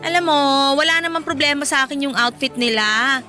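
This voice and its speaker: Bright, very high-pitched frank best friend. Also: female voice, brisk, soft background music. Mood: disapproving. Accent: Filipino. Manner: blunt, questioning, expressive. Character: frank, teasing young female best friend, disapproving. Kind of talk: Filipino radio drama dialogue.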